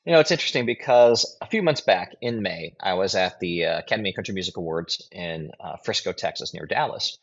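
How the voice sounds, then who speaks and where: A man's voice: sits low, expressive, a little fast, few pauses. A man giving conversational opinion commentary as a guest, blunt, informal and emphatic. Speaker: guest commentator, political strategist and writer. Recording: slightly dull, lo-fi audio.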